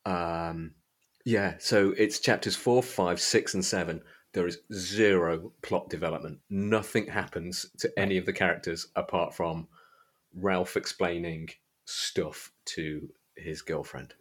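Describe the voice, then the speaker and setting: A man hosting a podcast, talking casually about books in a hesitant, halting way. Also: deep voice, steady tone. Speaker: podcast host. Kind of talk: casual book discussion chat.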